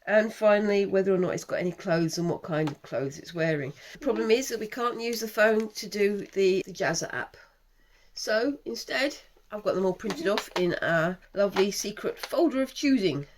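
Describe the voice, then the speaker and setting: A woman in her thirties with a croaky, failing voice, hosting a casual crafting vlog with her young daughter in a chatty, wry way. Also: mid-range voice, normal speed, a little hiss or hum. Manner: chatty, self-mocking, wry. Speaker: channel host, mother.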